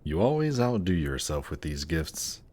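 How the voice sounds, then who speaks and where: A man's voice: deep voice, sing-song, normal speed, a little mellow. A man playing a suave, haughty demon prince in a fantasy audio drama, speaking in a polished, courtly and condescending manner. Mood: gracious, pleased.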